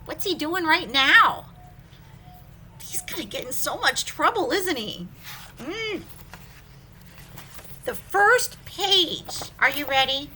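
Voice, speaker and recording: A woman teacher doing an animated story time for young children, sing-song and exclaiming, often putting questions to them. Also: high voice, a little hiss or hum.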